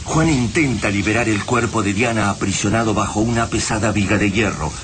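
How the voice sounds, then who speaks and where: A man's voice: on the low side, brisk, background noise. A man with an Argentine accent giving a dramatic narration for a radio drama.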